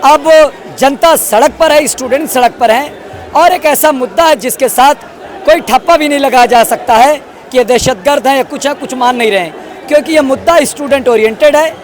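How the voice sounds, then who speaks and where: A man's voice: very high voice, moderate pace. A man reporting from a protest, asking probing questions and then summing up earnestly to the camera. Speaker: street reporter. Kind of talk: street interview at a protest. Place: outdoor protest site. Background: protest crowd.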